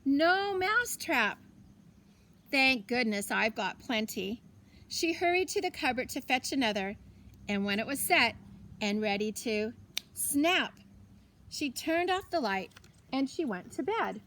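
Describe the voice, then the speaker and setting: High-pitched, slow-paced woman reading a storybook. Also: slight background noise. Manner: deliberate, expressive storytelling with pauses. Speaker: teacher reading a story aloud. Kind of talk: children's storybook narration.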